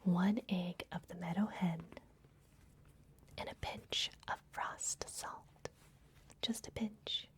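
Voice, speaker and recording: A woman playing a gentle, secretive vampire librarian in an ASMR fantasy roleplay, speaking in a soft, breathy, confiding whisper. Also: lowish voice, fairly even intonation. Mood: cozy, instructive. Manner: gentle, hushed, confiding, unhurried.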